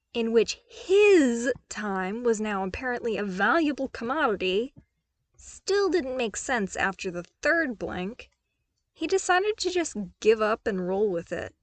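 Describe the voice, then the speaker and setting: A woman's voice: fairly high pitch, very animated, measured pace, no room sound. A woman reading scripted fiction expressively as an audiobook narrator, close to the microphone.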